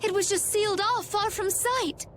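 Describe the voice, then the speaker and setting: Very high-voiced game heroine. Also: female voice, normal speed, a bit bright, a little hiss or hum. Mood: alarmed. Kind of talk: dramatic video game voice acting.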